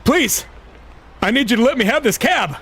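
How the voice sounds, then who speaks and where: A male narrator voicing a desperate young man begging for a taxi, with a shrill, pleading voice. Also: expressive, moderate pace, a bit bright, breathy edge, heavily acted.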